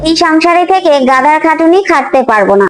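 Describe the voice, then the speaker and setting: Young daughter-in-law, extremely high voice, feisty, argumentative, cartoon dub, faint music. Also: female voice, animated, a little fast. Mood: defiant quarrelsome. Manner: pert, argumentative. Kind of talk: fairy-tale cartoon dub. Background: background music.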